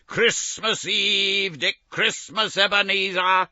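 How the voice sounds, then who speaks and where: A man's voice: high voice, steady tone, slow, sharp tone, no room sound, lo-fi sound, voice pushed up, full character voice. A man reading an audiobook, voicing a jolly fat old merchant with a rich, oily, jovial voice who calls out heartily.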